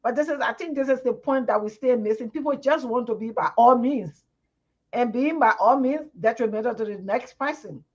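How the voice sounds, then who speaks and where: A Liberian woman with a fairly high voice makes her point on a live TV panel. She talks earnestly and repeats words as she hunts for them. The broadcast audio sounds dull and lo-fi. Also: normal speed, a little mellow. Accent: Liberian English.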